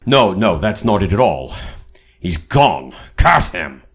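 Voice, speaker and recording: An actor playing a calm, authoritative older leader of a secret team in an audio drama, speaking in a measured way. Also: male voice, low-pitched, exaggerated voice. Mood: brisk command.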